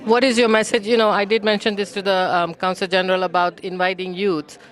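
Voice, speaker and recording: A woman's voice: mid-range voice, flat delivery, quick pace. A woman hosting interviews at an event, chatty and finishing her guests' sentences. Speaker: host. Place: consulate reception hall.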